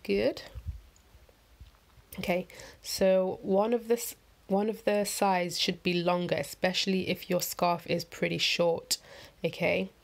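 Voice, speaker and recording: A woman vlogger with a croaky morning voice, talking through a head-wrap tutorial in a chatty, rambling, upbeat voiceover. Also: fairly low pitch. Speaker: fashion vlogger. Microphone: voiceover mic.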